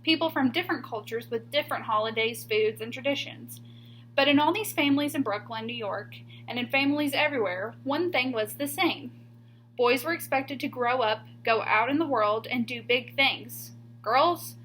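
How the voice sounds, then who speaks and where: High-pitched woman reading a picture book aloud. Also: moderate pace, a bit bright, slight background noise, low-quality recording. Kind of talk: expressive children's picture book read-aloud.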